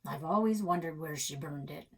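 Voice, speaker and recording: A woman voicing a stubborn, folksy old grandfather in a storybook, gruff and resigned. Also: sits low, normal speed, voice pushed down, full character voice. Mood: matter-of-fact.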